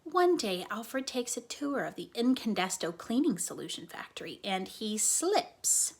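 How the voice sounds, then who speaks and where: An earnest, slightly cynical young comic-book fan voiced by a woman reading a storybook. The girl explains things emphatically and instructively, in a fairly high voice with a breathy, husky edge. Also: sing-song, unhurried, heavily acted. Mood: dramatic, emphatic.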